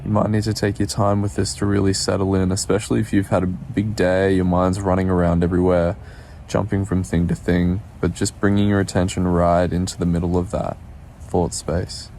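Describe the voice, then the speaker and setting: A man guides a meditation in a low, mellow voice with a gravelly fry. He speaks soothingly and takes his time, leaving space between gentle instructions. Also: little pitch movement, a little hiss or hum. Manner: soothing, unhurried, with pauses.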